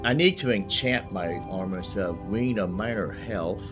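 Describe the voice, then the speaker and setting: A man's voice: lowish voice, a little slow. A man hosting a gaming video, giving casual, rambling commentary as he thinks aloud while playing. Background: game music.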